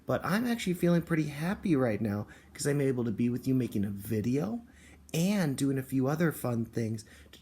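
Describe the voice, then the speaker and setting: A man's voice: mid-range voice, a little mellow. A man speaking as a kindergarten teacher, explaining and reading aloud to young children and parents.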